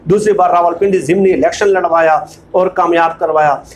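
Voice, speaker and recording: High-pitched Pakistani man giving political commentary. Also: steady tone, a little fast. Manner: emphatic, repeats phrases for emphasis. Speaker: political commentary video host. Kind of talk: informal political commentary, reading book excerpts.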